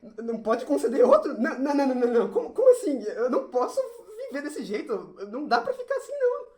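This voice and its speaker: Roomy sound, whiny boy acted by a woman, animated, soft-edged tone, stammering, panicky, audio drama. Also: high-pitched, quick pace. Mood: distraught. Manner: stammering, exasperated. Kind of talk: audio drama stage acting.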